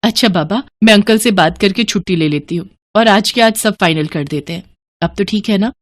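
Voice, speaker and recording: A woman voicing a humble, self-respecting young woman in a cartoon story, speaking earnestly and gently. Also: mid-range voice, a little fast, no room sound, studio quality, natural voice. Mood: agreeable. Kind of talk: Hindi cartoon story dialogue.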